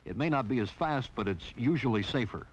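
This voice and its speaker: A man's voice: mid-range voice, expressive, brisk, husky. A man narrating a 1960s training film in a wry, teasing scripted style. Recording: dull lo-fi 1960s film soundtrack.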